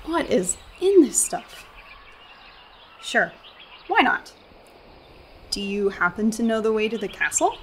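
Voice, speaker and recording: A woman's voice: fairly high pitch, very animated, takes it slowly, pauses often, slight background noise. A woman playing a young American tourist in a radio play, earnest, wry and self-deprecating, with an American accent. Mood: bewildered. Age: young adult.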